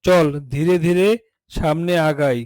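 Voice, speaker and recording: A man's voice: on the high side, steady tone, a little slow, a little mellow, clean tone, no echo, close to an everyday voice. A man playing a protective, devoted woodcutter father in a cartoon fairy tale, addressing his children in a declamatory way. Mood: bold, protective.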